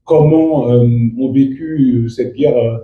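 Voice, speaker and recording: A man, a native Fula speaker, answering press questions as an actor in a halting way with many restarts. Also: mid-range voice, narrow, tinny audio. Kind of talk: press interview. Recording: echoey room from far off.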